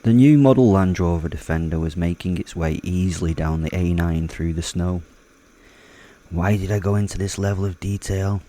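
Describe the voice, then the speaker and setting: A man's voice: low-pitched, moderate pace, mellow. A man hosting his podcast and telling a first-person horror story in an offhand, chatty way, swearing casually and joking darkly. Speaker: podcast host and narrator.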